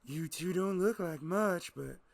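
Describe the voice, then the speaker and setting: A man's voice: high-pitched, unhurried, gravelly. A man voicing a tough frontier woman with a shotgun, talking bluntly and brashly.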